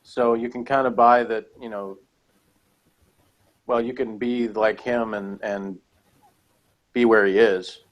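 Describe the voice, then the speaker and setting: A man's voice: on the low side, steady tone, takes it slowly, pauses often. A man in a casual review chat, rambling and hedging as he argues his points as a co-host. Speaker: podcast co-host reviewing TV episodes.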